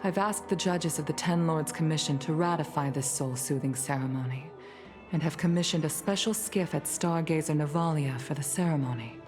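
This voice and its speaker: A woman's voice: low-pitched, brisk, music in the background, natural voice. A woman voicing a dignified, mature commander in a video game, speaking in a formal, grave and restrained way. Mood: formal, grateful.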